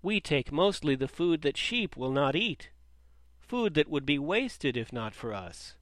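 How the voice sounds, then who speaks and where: A man voicing a gentle, sad antelope and goat who reason calmly. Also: a little high, measured pace, no echo. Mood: pleading.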